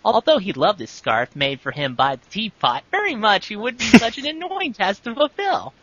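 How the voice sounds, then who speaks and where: A man's voice: high-pitched, big pitch swings, moderate pace, a bit bright, dull audio. A man giving a comic dramatic reading of fan fiction with casual asides, in an exaggerated, theatrical delivery.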